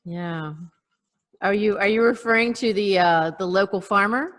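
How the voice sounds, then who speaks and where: A woman co-hosting a casual chat on a video call, telling local news in a hesitant, pausing way. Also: medium pitch. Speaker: podcast co-host.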